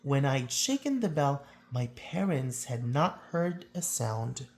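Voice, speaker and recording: A woman reading a children's picture book aloud as a storyteller, with theatrical phrasing and drawn-out emphasis. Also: rumbling low, big pitch swings, a little mellow, a little hiss or hum.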